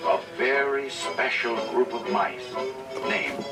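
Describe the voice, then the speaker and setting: A man narrating a children's read-along record in a dramatic, deliberate storytelling manner. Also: medium pitch, fairly even intonation, natural voice. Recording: distant vinyl record played in room, music.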